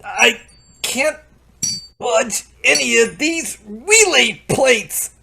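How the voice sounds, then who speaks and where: A man's voice: very high voice, expressive, extremely slow, vocal fry, heavy background noise, exaggerated voice. A man playing a flustered, henpecked repairman in an old-time radio drama, stammering and pleading theatrically. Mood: despairing.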